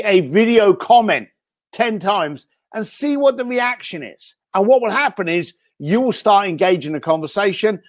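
A man's voice: sits high, no room sound, studio quality. A man speaking as a guest on a podcast interview, giving blunt, punchy advice. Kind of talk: interview chat.

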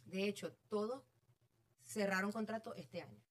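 A middle-aged woman with a Venezuelan accent, a real estate agent guest telling her story in an animated, self-amused way. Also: medium pitch, normal speed. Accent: Venezuelan Spanish. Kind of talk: casual interview chat.

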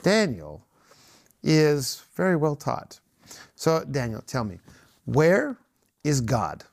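A man's voice: on the high side, wildly swooping pitch, takes it slowly, gravelly. A man hosting a studio Bible study show, welcoming guests, leading prayer and affirming others with frequent amens. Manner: warm, affirming, says amen often.

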